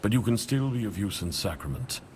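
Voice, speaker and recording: A man voicing a solemn male city leader, giving a measured speech to his people. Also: on the low side, lively intonation, a little mellow, a little hiss or hum, close to an everyday voice. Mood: urgent.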